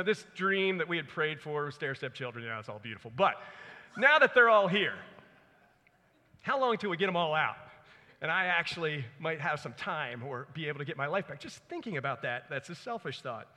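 A man's voice: on the high side, big pitch swings, brisk, bright, forceful tone. A man in his forties preaching a sermon in an earnest, conversational way, with personal stories. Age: 40s. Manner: earnest, conversational, dramatizes his own thoughts. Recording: echoey hall with long reverb.

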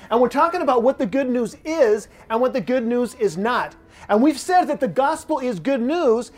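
A middle-aged American pastor preaching a Sunday sermon in a church, conversational and repetitive in phrasing. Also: male voice, very high-pitched. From United States. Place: church sanctuary.

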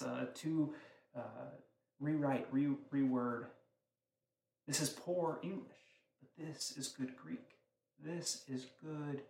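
A man's voice: on the high side, darkish tone. Man preaching in an echoey room. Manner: conversational teaching, frequent rhetorical questions. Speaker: pastor. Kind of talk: sermon.

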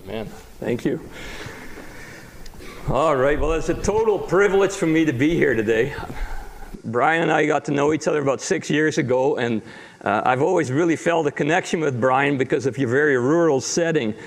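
A man's voice: on the high side, moderate pace, vocal fry. A man who grew up in the Netherlands and speaks Dutch as his first language, preaching as a guest pastor in an easy, chatty way with self-deprecating jokes. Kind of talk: sermon.

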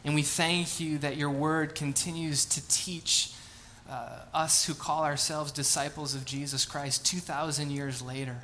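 A man's voice: on the high side, flat delivery, a little slow, hardly any pauses, bright, forceful tone, slight background noise. A man in his late thirties, a pastor, reading scripture, praying and then telling casual, self-deprecating stories in a sermon. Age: 30s. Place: church sanctuary.

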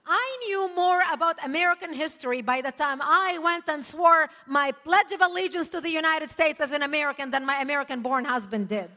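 Woman, non-native English speaker, rally speech; high and piercing, emphatic delivery, echoey hall. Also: brisk, hardly any pauses. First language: not English. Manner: forceful, emphatic, punctuated with laughs. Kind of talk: impassioned public speech. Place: large hall before a live audience. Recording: echoey hall, long reverb.

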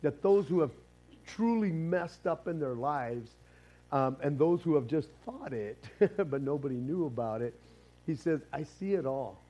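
A man with a hoarse, raspy voice, preaching a casual sermon with jokes and a rambling, self-interrupting way of talking. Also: on the high side, lively intonation, a little slow, dark tone, muffled recording. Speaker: pastor. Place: church sanctuary.

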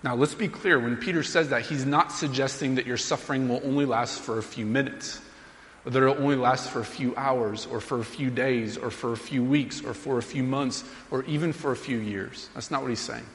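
A man in his thirties preaching a sermon as pastor, earnest and emphatic, slowing down to read scripture aloud. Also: mid-range voice, fairly even intonation, a bit bright, a little hiss or hum. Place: church sanctuary. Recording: long reverb of a large hall.

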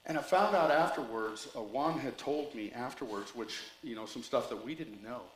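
A man's voice: mid-range voice, normal speed, reverberant, rough recording. A man preaching a sermon with rambling asides and jokes, reading scripture and telling a story. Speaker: pastor.

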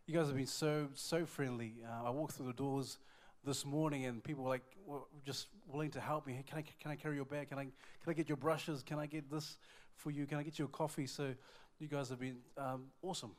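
A man from New Zealand with a New Zealand accent addresses a church congregation as a visiting evangelist. His talk is lively and stumbling, full of repeated words and shouts of praise. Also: medium pitch, a little fast. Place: church service.

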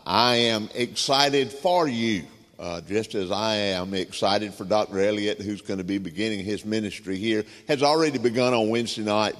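A man with a Southern US accent preaching a sermon, telling folksy stories with frequent pauses and filler words. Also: lowish voice. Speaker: guest preacher. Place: church sanctuary. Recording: reverberant church sanctuary.

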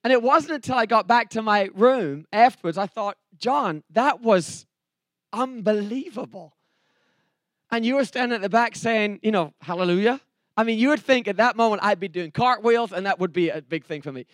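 A male preacher with an English accent gives an earnest, testimony-style sermon. His voice sits high for a man and sounds dry, with very little room around it. He tells stories, repeats key phrases and drops in joking asides. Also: normal speed, a bit bright, dry recording. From England, later Texas. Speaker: preacher, evangelist. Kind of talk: sermon with personal testimony and humour.

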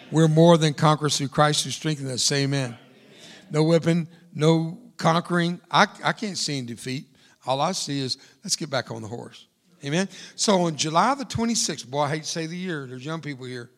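A man's voice: a little high, moderate pace. An older man, a veteran, preaching to a church congregation with emphatic, repeated phrases. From United States. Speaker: guest speaker, veteran. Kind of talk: sermon.

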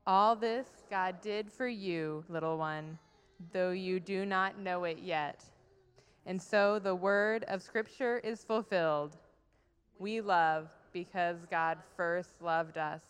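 A woman worship leader giving a measured liturgical reading in a church. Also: lowish voice, clear, resonant tone. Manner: slow, measured, deliberate reading. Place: church sanctuary. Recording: long church hall reverb.

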